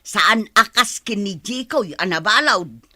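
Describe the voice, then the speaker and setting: A woman playing a chatty, gossiping village neighbour in a radio drama, with an animated manner. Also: mid-range voice, unhurried, no echo, studio quality. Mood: gossipy. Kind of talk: radio drama acting in Ilocano.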